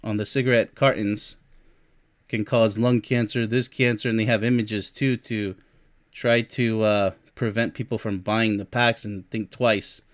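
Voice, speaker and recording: A man's voice: on the low side, fairly even intonation, a little slow. A man in his early forties giving a blunt, boastful monologue with sarcastic asides and short laughs, reading points off a list. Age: 40s. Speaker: relationship coach and vlogger. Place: Europe.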